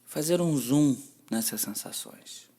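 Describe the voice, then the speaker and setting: Brazilian man leading a guided meditation. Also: a little high. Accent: Brazilian Portuguese. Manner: gentle, deliberate instruction with long pauses. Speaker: meditation instructor.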